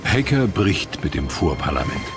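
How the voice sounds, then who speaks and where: A man narrating a scripted history documentary in a measured, dramatic storytelling manner. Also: extremely low pitch, big pitch swings. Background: music.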